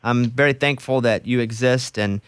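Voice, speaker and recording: A man's voice: mid-range voice, steady tone, normal speed, clear, resonant tone, dry recording. A man hosting a radio show, chatting casually and enthusiastically with a guest, often restarting his sentences. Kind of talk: casual interview chat. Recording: dry close studio mic.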